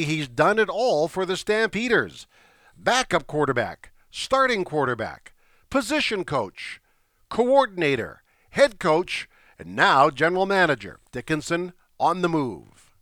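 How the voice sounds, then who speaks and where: A man hosting a sports show, talking through team news in a brisk, conversational way. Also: on the high side, very animated, fairly bright tone, no room sound, studio quality. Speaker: radio show host. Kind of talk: scripted sports commentary.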